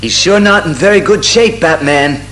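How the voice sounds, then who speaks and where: An actor playing an eager, concerned young sidekick to a hero, excitable and earnest, in an audio drama. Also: male voice, on the high side, normal speed, soft background music.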